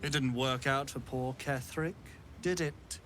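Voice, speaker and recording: An actor playing a haughty, theatrical wizard in a video game, smugly menacing, with a pompous and deliberate delivery. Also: male voice, medium pitch, fairly even intonation, fairly bright tone, a little hiss or hum, lightly acted. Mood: smug, gloating. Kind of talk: video game villain acting.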